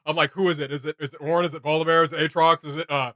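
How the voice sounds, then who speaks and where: A man's voice: a little high, level tone, rapid-fire, fairly bright tone, dry recording, clean studio sound. A man hosting a reaction video, chatting casually with an enthusiastic, rambling, joking manner.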